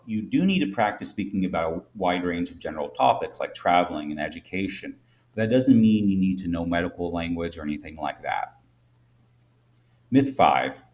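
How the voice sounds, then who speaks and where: A man from the United States with a Midwest American accent, an English teacher giving a clear, measured exam-prep lesson. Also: low-pitched, a little mellow. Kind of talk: scripted explainer lesson.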